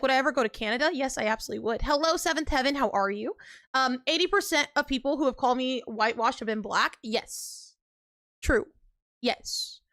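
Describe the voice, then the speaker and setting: High-voiced young woman ranting on stream. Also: dry recording, clean studio sound. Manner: animated, filler-heavy, emphatic, frequent laughs and pitch jumps. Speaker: livestreamer. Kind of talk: casual live-stream chat reading viewer comments.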